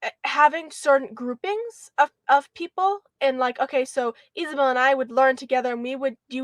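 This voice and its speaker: Guest role: a young woman with a high voice and a halting way of talking, recorded dry. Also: no room sound. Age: young adult. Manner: halting, many fillers. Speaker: homeschool graduate guest. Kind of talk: conversational interview.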